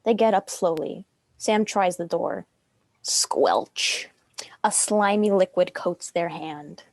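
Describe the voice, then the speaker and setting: Woman narrating a script reading, lowish voice, really dry sound, just stage directions. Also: slow, no room sound.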